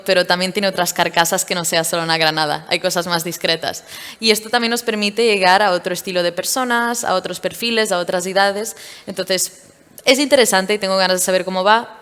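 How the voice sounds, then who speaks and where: A woman from Portugal, a startup founder, speaking candidly and in a lively way during a stage interview at a tech event. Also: lowish voice, clear, resonant tone. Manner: candid, lively storytelling with fillers. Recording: reverberant event hall.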